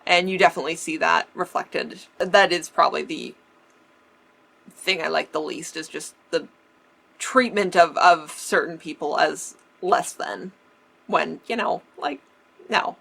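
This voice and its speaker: A Canadian woman with a slightly lower-pitched voice talks casually, answering viewer questions one by one. Her accent is mild, and traces of an old lisp slip into some words. She laughs easily and often restarts her phrases. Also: unhurried, gravelly, a bit bright, low-quality recording. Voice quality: faint lisp remnant. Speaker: vintage-style vlogger. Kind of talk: casual Q&A chat.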